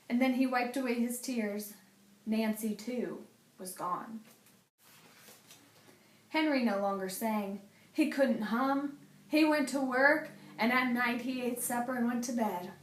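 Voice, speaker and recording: A woman reading a children's picture book aloud as a teacher, with a deliberate, dramatic storytelling delivery. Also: fairly high pitch. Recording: echoey room.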